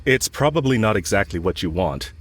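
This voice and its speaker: Man acting a low-voiced lovestruck villain. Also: sing-song, slight background noise. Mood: earnest, hopeful. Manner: hesitant, earnest, halting. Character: lovestruck male villain confessing to his enemy, yearning. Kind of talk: intimate audio roleplay acting.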